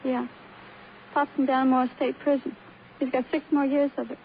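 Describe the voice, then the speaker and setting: A muffled vintage radio drama with faint noise. A frail, brittle American teenage girl talks in a high voice, flippant and dreamy, rambling with a defiant edge. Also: little pitch movement, a little fast, creaky. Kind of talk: 1950s radio crime drama acting.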